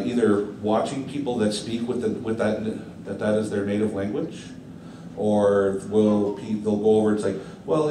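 A man speaking on a convention panel, taking audience questions with playful banter into a handheld mic. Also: lowish voice. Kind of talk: casual panel Q&A. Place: large convention hall room. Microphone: handheld mic, far camera. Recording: echoey room from far off, background noise.

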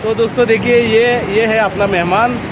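Chatty high-voiced man vlogging on a street. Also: fairly even intonation, moderate pace, a crowd in the background. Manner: chatty, repeats "thik hai na", addresses viewers as friends. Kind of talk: walking city vlog. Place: busy city street at night, outdoors. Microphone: phone held at arm's length. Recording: loud street and traffic noise. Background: traffic and street noise.

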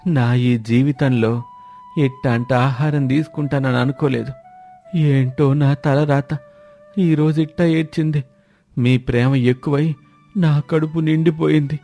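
A man's voice: mid-range voice, steady tone, unhurried, mellow, soft background music, natural voice. A man voicing an exasperated, sarcastic older uncle who complains dramatically in a family comedy story. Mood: mournful sarcasm.

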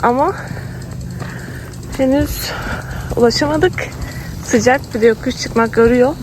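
A woman's voice: high voice, slow, over music. A woman chatting casually to viewers as a travel vlogger. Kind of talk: casual vlog chat to viewers. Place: outdoors, on town streets. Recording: noisy outdoor recording, street ambience.

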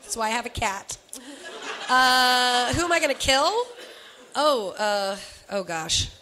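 A young woman with a bright, forceful voice chats on a convention panel. She talks a lot, laughs often and tosses in quick asides. She speaks into a handheld microphone in an indoor room. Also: a little high, lively intonation. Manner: chatty, laughing, fast asides. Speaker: voiceover actor and singer on a panel. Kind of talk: casual panel talk.

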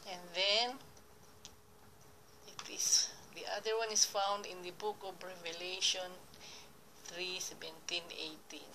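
A woman reading Bible verses aloud and explaining them in a slow, deliberate way, now and then correcting herself, recorded on a phone pointed at a computer screen. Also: lowish voice, little pitch movement, pushed and bright, a little hiss or hum, low-quality recording. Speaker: lay preacher. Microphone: phone camera filming a computer screen.

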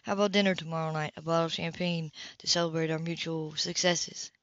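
Woman reading as a smooth, teasing adman, muffled. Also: low-pitched, steady tone, quick pace, fairly bright tone, dry recording, pitched below the performer's own voice. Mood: breezy, confident. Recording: muffled lo-fi home recording.

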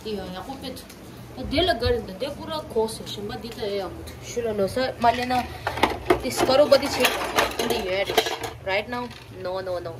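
A woman's voice: a little high, a little slow, very noisy. A young woman in her twenties chatting casually to her phone camera in a small home kitchen, switching between languages and swearing now and then. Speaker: vlogger. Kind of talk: casual vlog chat. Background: background music.

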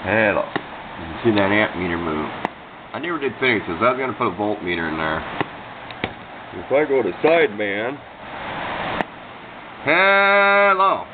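An American man giving a casual, unscripted show-and-tell, talking in a plain-spoken, rambling, self-deprecating way. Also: medium pitch. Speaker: hobbyist radio demonstrator. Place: indoors at a workbench. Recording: tinny phone-like sound with clear background noise.

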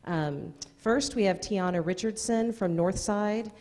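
A woman's voice: mid-range voice, measured pace, a little mellow. A middle-aged American woman announcing award nominees at a public meeting from a podium, reading out names with informal asides. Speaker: school district presenter. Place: indoor meeting room at a podium. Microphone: gooseneck podium microphone. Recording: echoey meeting hall, long reverb.

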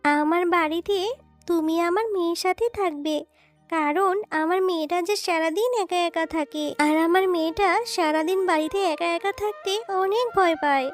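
নারীকণ্ঠে খুব চিকন গলার দুঃখী মা শিয়ালিনী. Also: female voice, very high voice, moderate pace. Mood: grateful, hopeful. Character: poor hardworking mother fox, earnest and grateful. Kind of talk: children's fairy-tale cartoon dubbing. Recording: children's music behind the voice.

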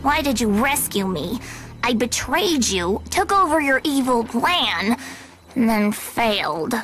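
A woman voicing a bratty, scheming evil teenage niece in a cartoon video game, with sneering, drawn-out sarcasm. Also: on the high side, big pitch swings, over music. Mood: puzzled.

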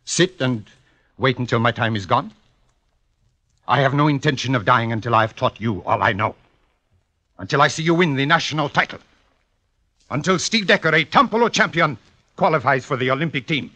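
An actor playing an impatient, ailing old fencing master, halting and emphatic, in a 1950s radio drama. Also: male voice, mid-range voice, animated, bright, forceful tone. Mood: fervent, pleading. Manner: halting, emphatic, repeats words.

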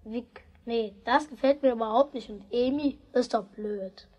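A woman's voice: on the high side, a little slow, darkish tone, no room sound, highs cut off. A woman playing a stubborn, outspoken young boy in a children's radio play, with a blunt, grumbling manner. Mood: defiant.

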